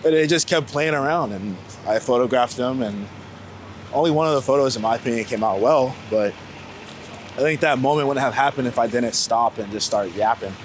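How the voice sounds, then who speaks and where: A man's voice: mid-range voice, fairly quick. Young man chatting over faint street noise. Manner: rambling, slangy, reflective. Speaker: street photographer being interviewed. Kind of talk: casual walking chat. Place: outdoors on a New York City street. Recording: outdoor city street noise. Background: faint traffic and urban ambience.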